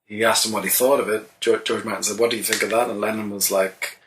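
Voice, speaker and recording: An Irish songwriter answering interview questions in a thoughtful, halting way, with many restarts. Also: male voice, on the low side, fairly even intonation, background noise. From Ireland. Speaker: songwriter guest.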